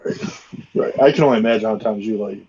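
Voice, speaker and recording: A man in his thirties with a low, mellow voice, talking in a laid-back, hesitant way full of fillers. He sits in a small room on a video call. The sound is dull and lo-fi, with a faint hiss behind it. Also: fast. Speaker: co-host. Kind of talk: casual chat.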